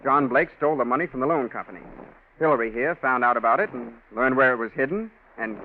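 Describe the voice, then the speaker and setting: An actor playing an earnest, excitable American man in a 1940s radio drama, speaking with urgency. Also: mid-range voice, quick pace, natural voice. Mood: urgent, accusing. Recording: scratchy, tinny 1940s radio broadcast.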